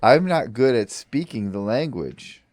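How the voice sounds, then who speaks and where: A man co-hosting a comedy podcast, doing a deadpan mock ad read in a flat, halting way full of fillers and restarts. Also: mid-range voice, no echo, studio quality. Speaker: podcast co-host.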